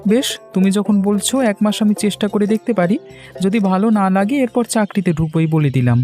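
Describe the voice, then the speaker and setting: A man's voice: sits high, fast talker, mellow, soft background music, lightly acted. An actor playing a dutiful but independent-minded young son just out of his studies in a cartoon story. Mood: reluctant. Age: young adult. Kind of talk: Bengali cartoon story dialogue.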